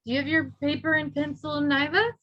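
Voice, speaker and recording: A woman's voice: sits high, steady tone, fairly quick, highs cut off, slight background noise. A woman teaching an adult English class, patient and encouraging, who models her words carefully for her learners.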